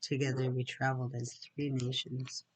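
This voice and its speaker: A man's voice: mid-range voice, monotone, normal speed, dry recording, rough recording. A man reading a storybook aloud, sometimes dropping into casual asides. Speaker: read-aloud narrator.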